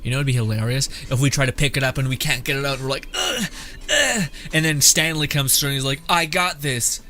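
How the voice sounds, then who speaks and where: A man's voice: medium pitch, fast, pushed and bright. A young man doing casual, joking gameplay commentary, rambling and sarcastic with some swearing. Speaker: game streamer. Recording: game music behind voice.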